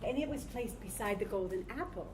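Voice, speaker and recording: A woman's voice: mid-range voice, animated, brisk, reverberant, background noise. A woman reading narration in a dramatized literary storytelling performance. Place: live staged reading in a room.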